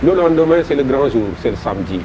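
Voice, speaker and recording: A man with a Senegalese French accent, a festival promoter describing his event in an interview, halting and repeating words as he goes. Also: medium pitch, expressive, dull audio. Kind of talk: interview answers. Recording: noisy background.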